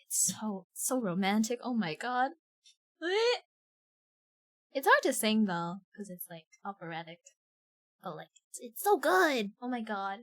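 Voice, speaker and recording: A woman's voice: fairly high pitch, very animated, measured pace. A woman streaming a video game live, reading its text aloud and chatting casually. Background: game music.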